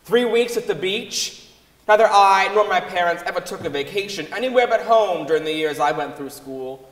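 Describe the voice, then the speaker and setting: A man's voice: sits high, lively intonation, moderate pace, a bit bright. A young man giving a staged dramatic reading in a large concert hall. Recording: echoey concert hall with long reverb.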